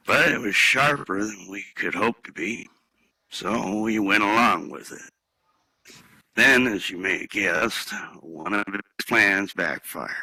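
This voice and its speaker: A man playing an embittered, haunted ex-firefighter in a dramatic script reading, with deliberate, halting delivery. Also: on the low side, sharp tone, dry recording, heavily acted, poor audio. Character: gruff embittered male ex-firefighter, haunted, angry at machines.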